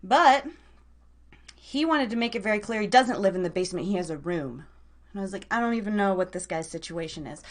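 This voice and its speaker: A woman's voice: medium pitch, expressive, fairly quick. A woman hosting a live online radio show, chatting casually in an informal, slangy, rambling way straight to her listeners.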